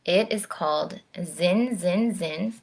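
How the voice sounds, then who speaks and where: A woman, around her thirties, speaking to young children in an expressive storytime style, slowly and directly, recorded at home on a device microphone. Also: fairly low pitch, rough recording. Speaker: storytime presenter, orchestra musician. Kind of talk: children's read-aloud and friendly chat to camera. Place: at home, indoors.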